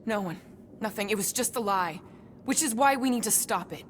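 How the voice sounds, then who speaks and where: A woman's voice: mid-range voice, expressive, moderate pace, a little hiss or hum, close to an everyday voice. An actress playing a fierce, blunt, embittered woman warrior in a video game, terse and defiant. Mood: guarded.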